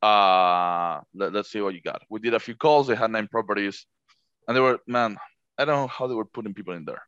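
Spanish-speaking guy talking english on a podcast, bright voice, kinda dull lo-fi sound. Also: lowish voice, smooth, dry recording. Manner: halting, many restarts, laughs easily. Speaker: short-term rental manager. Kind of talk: casual podcast chat.

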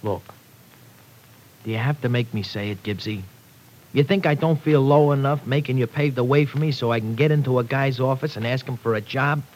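An actor playing a cocky, defensive young ex-offender in an old radio drama, with brash, slangy wisecracks. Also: male voice, medium pitch, a little fast, darkish tone, lightly acted. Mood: sullen, wounded. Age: young adult. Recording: noisy vintage radio recording.

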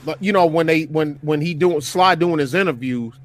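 High-pitched rambling man in his fifties. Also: normal speed. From Detroit, USA. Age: 50s. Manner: rambling, searching for words, many restarts. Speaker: podcast co-host. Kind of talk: casual group banter.

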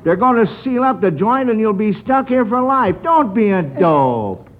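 A brash radio gangster played by a man, high and swinging in pitch, threatening in slangy wisecracks. It has the thin sound of an old narrow-band broadcast. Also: big pitch swings, moderate pace, slight background noise. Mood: urgent, brusque. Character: slangy wisecracking male gangster tough guy.

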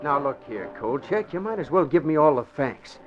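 An actor playing a brash, insistent American newspaper reporter, pushy and slangy, in 1940s radio style. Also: male voice, on the high side, sing-song, moderate pace, loud music behind the voice. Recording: muffled, faintly noisy 1940s radio recording.

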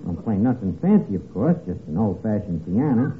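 An actor playing a brash, uneducated tavern manager who wisecracks in mangled slangy grammar in an old radio comedy. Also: male voice, fairly low pitch, sing-song, moderate pace. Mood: sardonic, wary. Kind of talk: 1940s radio comedy acting. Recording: tinny, very noisy old radio recording.

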